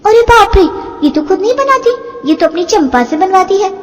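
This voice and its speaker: A woman's voice: very high-pitched, expressive, moderate pace, dull audio, pitched above the performer's own voice. A woman voicing a feisty young daughter-in-law who complains with wounded pride in a moral-story cartoon. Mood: astonished. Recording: long hall-like reverb.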